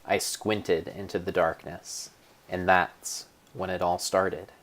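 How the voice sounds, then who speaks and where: A man with a fairly low voice narrates like a storyteller reading an adventure tale aloud. His delivery is deliberate and theatrical, with a mock-solemn weight on the phrases. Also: level tone, fairly bright tone. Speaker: narrator of a game playthrough. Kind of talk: dramatic storybook-style narration.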